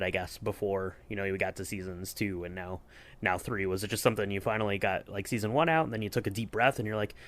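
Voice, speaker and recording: A man's voice: lowish voice, a bit bright. A man hosting a podcast in casual chat, asking questions in a rambling, hesitant way. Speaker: podcast host.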